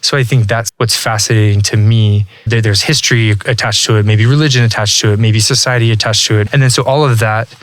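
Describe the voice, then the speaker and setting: A man's voice: lowish voice, fairly quick, hardly any pauses. A man in his thirties from Texas, a podcast guest talking casually and thoughtfully, often restarting his sentences. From Texas, USA. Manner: relaxed, reflective, many restarts and "like". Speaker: guest, language learning entrepreneur. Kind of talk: casual interview talk.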